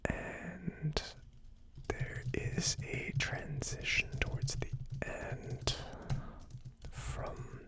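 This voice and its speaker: A host giving a whispered ASMR tasting commentary in a breathy, unvoiced whisper, musing aloud in a slow, tentative way. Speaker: whiskey tasting video host.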